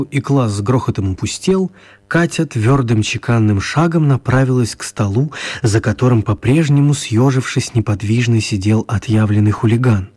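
A man narrating a children's radio play as an expressive, wry storyteller. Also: medium pitch, natural voice. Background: music.

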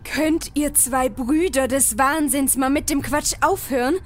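Woman playing a high-voiced, sarcastic adventurer girl. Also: a little slow. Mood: exasperated. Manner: sarcastic, scolding. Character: exasperated, sharp-tongued female online-game adventurer. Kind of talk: comedy audio play dialogue.

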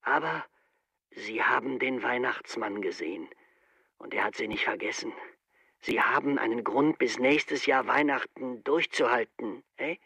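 A man's voice: mid-range voice, pushed and bright, breathy edge, no room sound, heavily acted. An actor playing a gullible, bashful small-town family man in a radio drama, speaking hesitantly and naively. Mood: touched, dreamy. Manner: hesitant, naive, halting, tags phrases with "eh".

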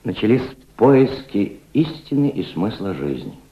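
Cultured middle-aged gentleman diplomat, low voice, fatherly oratory, expressive swoops, old radio play. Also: unhurried. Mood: nostalgic, stirred. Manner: reflective, oratorical, fatherly toward a young listener. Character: proud, cultured older Russian aristocrat turned revolutionary diplomat. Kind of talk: Soviet radio drama acting. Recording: dull, roomy old radio play recording.

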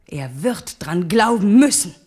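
A woman's voice: lowish voice, animated, unhurried, a little mellow, husky. A woman playing an impatient, coarse-tongued scheming queen, blunt and scornful, in a German radio play.

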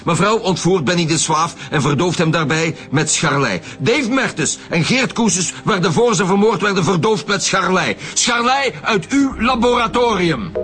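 An actor playing an authoritative, wry Flemish chief homicide detective in a radio crime drama. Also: male voice, high voice, animated, normal speed, hardly any pauses, fairly bright tone, soft background music. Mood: stern.

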